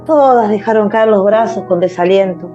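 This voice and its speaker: Mellow woman narrator, slightly muffled. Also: medium pitch, moderate pace. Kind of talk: dramatized literary narration for radio. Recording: slightly muffled, music underneath.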